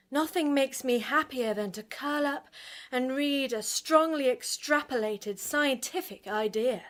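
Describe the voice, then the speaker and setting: An actress as a theatrical radio horror hostess, rather high in voice and slow. She is bewitching and conspiratorial, drawing words out with grand relish like a presenter of old-fashioned radio drama. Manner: dramatic, relishing, drawn-out delivery.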